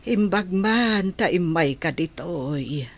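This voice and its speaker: A woman plays a frail old Ilocano grandmother in a radio-style drama. Her voice is husky and fairly low, and she speaks very slowly, with weary resignation. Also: expressive, exaggerated voice. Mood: resigned. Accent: Ilocano Filipino. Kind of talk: audio drama acting.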